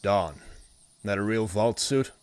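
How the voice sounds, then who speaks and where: Man acting a gruff low-voiced security guard. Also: slow pace. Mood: stern, suspicious. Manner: curt, commanding, stern. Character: gruff, suspicious adult male wasteland security guard. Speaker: voice actor. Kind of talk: audio drama acting.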